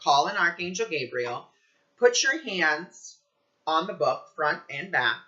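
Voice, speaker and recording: A man's voice: fairly high pitch, animated, unhurried, bright, forceful tone, dull audio. A man talking in a casual spiritual vlog as a psychic reader, gushing and enthusiastic.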